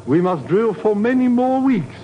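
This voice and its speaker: An actor playing a principled Indonesian patriot man in a 1950s radio drama, speaking with measured, courteous formality. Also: high voice, big pitch swings. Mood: politely firm. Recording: muffled, noisy old radio recording.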